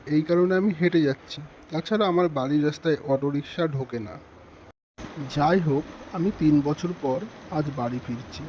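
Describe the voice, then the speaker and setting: Mellow, higher-voiced man telling a story. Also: slight background noise, low-quality recording. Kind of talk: first-person ghost story narration.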